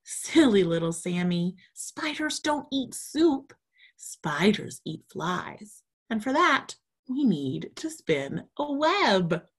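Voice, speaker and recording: A woman voicing a fond, patient mother spider who gently teases and explains, in a children's storybook. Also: medium pitch, sing-song, slow, slightly rough, pitched above the performer's own voice, full character voice. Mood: patient, gentle. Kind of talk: children's storybook read-aloud.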